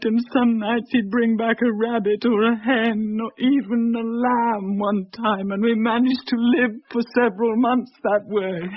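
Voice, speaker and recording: An actress playing a despairing young widow in a radio drama, plaintive and halting. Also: female voice, mid-range voice, close to an everyday voice. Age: young adult. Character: possessed woman voicing a starving, despairing young English widow. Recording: dull, lo-fi old radio recording.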